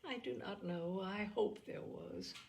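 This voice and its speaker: Lady reading as a gentle anxious young sister in a storybook, earnest and careful. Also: mid-range voice, slow pace, creaky. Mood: anxious, sorrowful.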